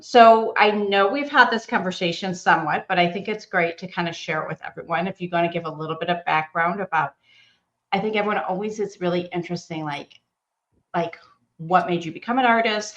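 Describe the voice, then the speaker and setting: Chatty woman host who rambles a bit, slightly low voice, muffled lo-fi line. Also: fairly quick, fairly bright tone. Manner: chatty, rambling, laughs easily. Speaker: host, company art director. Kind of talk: casual interview.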